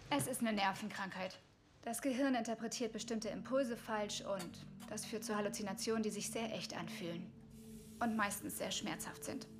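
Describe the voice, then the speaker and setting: A woman's voice: medium pitch, moderate pace, over music, lightly acted. A woman playing an anxious, self-doubting young woman, earnest and vulnerable, in a dubbed TV drama. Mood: resigned.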